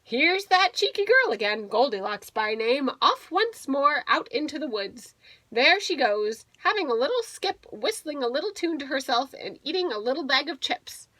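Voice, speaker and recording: A woman's voice: sits high, bright, forceful tone, no echo. A woman teacher reading a picture book aloud to young children, expressively and with little explanatory asides.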